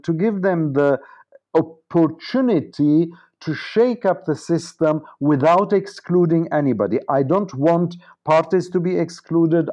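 A man's voice: a little high, dark tone, highs cut off. A man speaking as an interview guest, giving earnest, discursive political commentary with emphatic lists and repetition.

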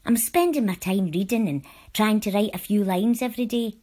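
A woman reading personal letters aloud from a script in a measured, earnest way. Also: medium pitch, a little mellow. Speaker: volunteer reader.

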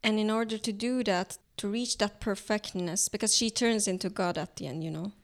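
A young woman with an Iranian accent, whose first language is Turkish, talks slowly through her ideas in an interview. Her voice has a gravelly fry at the ends of phrases. She often stops and restarts while searching for the right English word. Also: mid-range voice, fairly even intonation. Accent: Iranian, speaking English as a later language. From Iran. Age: young adult. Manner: thoughtful, halting, searching for words. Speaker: artist guest. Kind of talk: reflective interview conversation.

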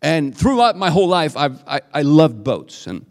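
A man from Sweden, a native Swedish speaker, preaching a sermon in English in a conversational, halting way with frequent restarts. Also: fairly high pitch, big pitch swings. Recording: reverberant church hall.

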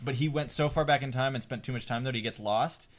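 A man co-hosting a podcast, bantering casually like a fan in an excitable, emphatic, sometimes profane way. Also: medium pitch, fast talker. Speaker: podcast co-host.

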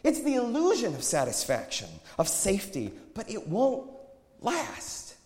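A man's voice: high voice, wildly swooping pitch, unhurried, darkish tone, voice pushed up, heavily acted. A man playing a prophet who preaches with tender yet challenging, pleading conviction in a dramatized scripture story. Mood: urgent, challenging. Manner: declamatory, pleading, pointed. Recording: echoey hall with long reverb.

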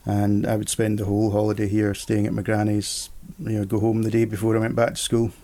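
Man, middle-aged, gravelly, dark mellow tone, easygoing. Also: fairly low pitch, monotone, a little fast. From Scotland. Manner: easygoing, rambling storyteller. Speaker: interview guest. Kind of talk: casual conversation.

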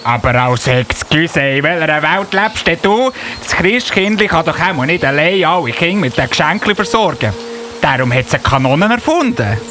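Man acting a self-important little elf cop, Swiss accent, high swooping voice, indignant, officious, radio play, noisy recording. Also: animated, normal speed, bright, forceful tone. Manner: indignant, officious, with repeated verbal tic. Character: fussy, self-important elf policeman of the Christmas corps. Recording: noticeable buzzy background noise.

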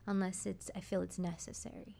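A woman's voice: fairly low pitch, little pitch movement, brisk, creaky, dark tone. A woman massage therapist giving casual explanations as a resident expert on a talk show.

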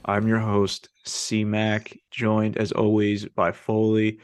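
A young man hosting a casual sports podcast, talking eagerly and telling stories with excitement. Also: fairly low pitch, slow, clear, resonant tone, dry recording, soft background music. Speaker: podcast host.

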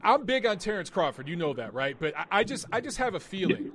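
A man hosting a live boxing call-in podcast, emphatic and opinionated, his voice going hoarse. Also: high voice, big pitch swings, quick pace, fairly bright tone, a little hiss or hum. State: losing his voice.

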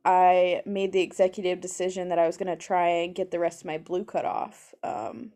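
Loud, swearing young woman podcaster. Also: on the low side, level tone, normal speed. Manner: loud, swearing, laughing often. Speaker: podcast co-host. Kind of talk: casual chat. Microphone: improvised mic held between her legs.

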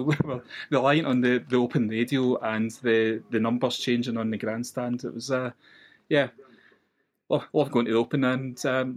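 Chatty Scottish man in his thirties. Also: mid-range voice. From west coast of Scotland. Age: 30s. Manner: chatty, reminiscing with frequent restarts and laughs. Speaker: podcast guest. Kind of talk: casual chat.

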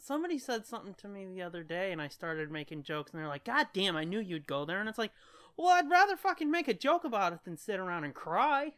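A man's voice: high-pitched, big pitch swings, fast talker, fairly bright tone, clean tone. A man co-hosting a podcast in casual comedic chat, profane, irreverent and joking. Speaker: podcast co-host.

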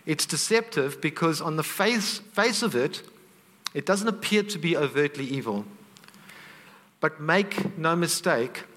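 A man's voice: a little high, a bit bright. Earnest man preaching in a hall. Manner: earnest, conversational preaching with asides to the congregation. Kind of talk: sermon.

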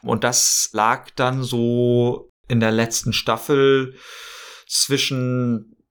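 Man in casual interview, halting delivery. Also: mid-range voice, level tone, a bit bright, clear, resonant tone. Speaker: interview guest, role-play podcast maker.

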